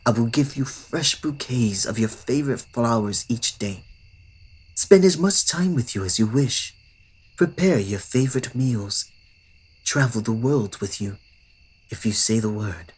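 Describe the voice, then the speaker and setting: Brief: man as a courtly, obsessive old vampire for ASMR; husky, breathy, slow and formal. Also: medium pitch, poor audio. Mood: pleading. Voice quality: soft, breathy, often whispered. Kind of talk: ASMR roleplay.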